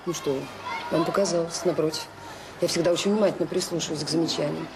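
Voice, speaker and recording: Female orphanage director for Soviet radio drama: quick, lowish voice, courteous on the surface, pointedly ironic underneath. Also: fairly even intonation, noisy background. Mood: politely ironic. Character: dutiful orphanage director, dryly ironic, firm with relatives. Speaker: actress in an audio drama.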